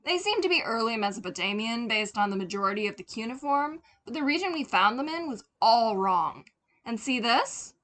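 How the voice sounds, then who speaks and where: A woman's voice: on the high side, expressive, moderate pace, a bit bright. Woman voicing a proud, enthusiastic scholarly father.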